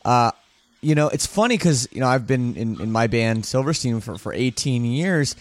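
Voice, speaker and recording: A man's voice: medium pitch, expressive, normal speed. A man hosting a casual podcast, upbeat and rambling, often restarting his sentences. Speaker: podcast host.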